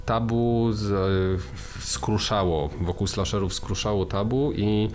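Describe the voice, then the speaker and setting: Man, low voice, halting film talk with repeated words, faint background noise. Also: a little mellow. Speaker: co-host of a film discussion show. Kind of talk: casual film discussion.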